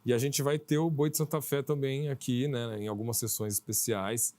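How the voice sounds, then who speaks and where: A man's voice: mid-range voice, steady tone, normal speed, warm tone. Brazilian man talking conversationally in an interview. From Brazil, outside Maranhão. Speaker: film director being interviewed.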